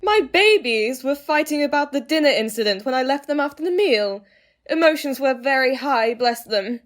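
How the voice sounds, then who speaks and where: A woman's voice: high voice, animated, moderate pace. A woman playing a doting mother and scornful middle-aged wife, dramatic and reproachful, in a comic murder-mystery drama.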